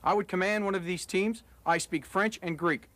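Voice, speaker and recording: Male army officer w a high voice, formal memorized intro, very dry recording. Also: moderate pace, a bit bright, no room sound. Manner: formal, recited. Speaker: military executive officer. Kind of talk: formal scripted self-introduction.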